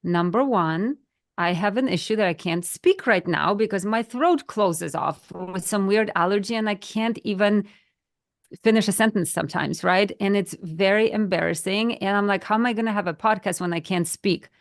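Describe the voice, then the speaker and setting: A woman's voice: mid-range voice, normal speed. A woman in her thirties from Poland with a Polish accent, co-hosting a podcast chat and talking earnestly, sometimes searching for words. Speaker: podcast co-host. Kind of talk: casual chat.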